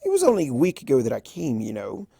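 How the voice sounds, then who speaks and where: A performer, a man, voicing a flamboyant, teasing male chimera trickster from a cartoon fan story, with theatrical drawn-out emphasis. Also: mid-range voice, wildly swooping pitch, creaky, warm tone, breathy edge, dry recording, studio quality, full character voice. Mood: mock offended.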